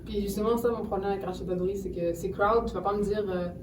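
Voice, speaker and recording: A young woman, co-hosting a casual podcast chat. She speaks in a measured, critical way. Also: medium pitch, fairly even intonation. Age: young adult. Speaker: podcast co-host. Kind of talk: casual round-table chat. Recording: echoey room, far from the mic, noise.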